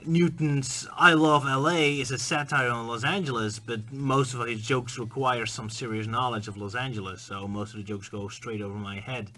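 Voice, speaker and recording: Bright-voiced man musing casually. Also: mid-range voice, fairly even intonation, normal speed, few pauses. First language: Dutch. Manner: musing, conversational, bursts into playful mock singing. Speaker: video essay host. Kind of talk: casual spoken commentary.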